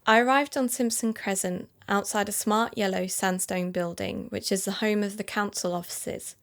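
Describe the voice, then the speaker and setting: An actress playing an earnest woman amateur sleuth who hosts an investigative podcast, narrating calmly. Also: mid-range voice, normal speed, lightly acted. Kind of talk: audio drama narration and dialogue.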